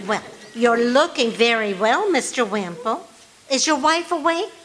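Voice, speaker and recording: An actress playing a wry, patient, teasing wife in old-time radio comedy style. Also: female voice, a little high, lively intonation, unhurried, fairly bright tone. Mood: polite, curious. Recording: live stage recording with faint hiss.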